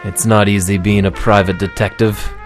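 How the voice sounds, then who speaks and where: A man voicing a gloomy hard-boiled male private detective in a comic radio noir drama, talking in deadpan, brooding narration. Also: fairly low pitch, normal speed, over music, lightly acted.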